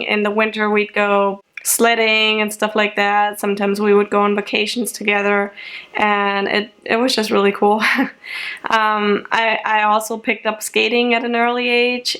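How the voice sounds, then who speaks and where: A woman's voice: mid-range voice, a bit bright. A young German woman chatting casually to camera, rambling and laughing often. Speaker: vlogger.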